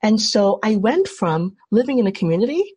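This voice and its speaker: A woman's voice: mid-range voice, very animated, fairly quick, darkish tone, slightly rough. A woman from Trinidad leading an online workshop, putting questions to the group and explaining in an engaging way over a video call. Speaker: workshop facilitator.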